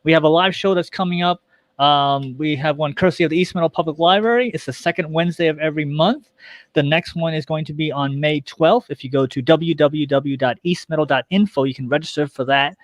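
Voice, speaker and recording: A man's voice: fairly high pitch, steady tone, a little fast, no room sound. A man chatting casually as a guest on a show, himself a radio show co-host. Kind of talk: casual conversational chat.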